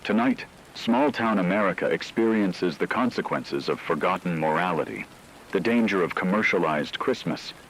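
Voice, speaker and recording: A man's voice: sits low, a bit bright, a little hiss or hum, poor audio. A man playing a grave radio news anchor, reading a horror broadcast in a solemn, formal, ominous way. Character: grave male radio news anchor reporting supernatural terror.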